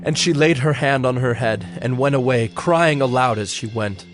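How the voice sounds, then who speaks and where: A man's voice: medium pitch, normal speed. A man giving a dramatic scripted narration of scripture as the narrator. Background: music.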